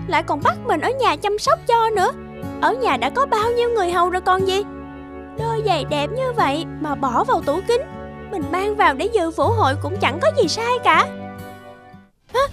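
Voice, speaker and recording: A woman voicing a vain, spoiled little girl in a cartoon fairy tale, childlike, whiny and theatrical. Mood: frightened, distressed. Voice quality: childlike, high and bright. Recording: music behind the voice.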